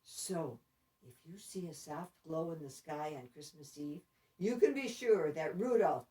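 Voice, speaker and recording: A woman narrating a children's story expressively, with deliberate, dramatic phrasing. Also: low voice, lively intonation, mellow. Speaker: storybook reader. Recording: distant microphone.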